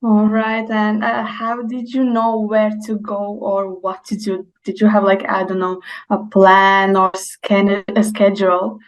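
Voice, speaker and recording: A woman's voice: mid-range voice, little pitch movement, unhurried, hardly any pauses, rough recording. A woman interviewing a guest, asking loose questions full of fillers.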